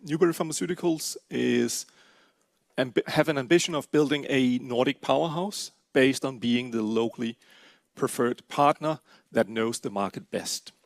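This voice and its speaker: A man's voice: mid-range voice, creaky, dry recording, studio quality. A middle-aged Danish man, speaking English with a Danish accent, presenting his company at an investor event in a businesslike way. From Denmark. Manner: businesslike, steady with frequent uh fillers.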